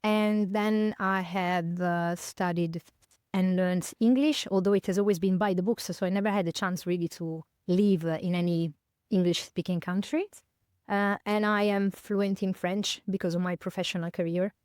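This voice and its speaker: A woman in her fifties from northern Italy with an Italian accent, a podcast guest speaking in English as a second language, thoughtful and pausing with many fillers and restarts. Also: lowish voice, steady tone, a little mellow, clean tone, dry recording, clean studio sound. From northern Italy, near Milan. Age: 50s. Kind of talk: reflective conversational interview.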